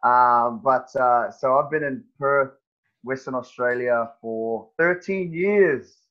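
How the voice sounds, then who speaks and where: Easygoing New man, dull lo-fi sound. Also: mid-range voice. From New Zealand. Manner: halting, many fillers and restarts, says "man" and "bro". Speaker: guest, personal trainer. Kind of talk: casual podcast chat.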